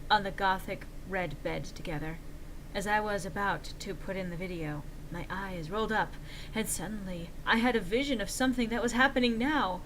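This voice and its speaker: A woman's voice: medium pitch, normal speed. Theatrical woman reader over background noise. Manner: theatrical, exaggerated, mock-earnest. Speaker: narrator. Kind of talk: dramatic reading of fan fiction.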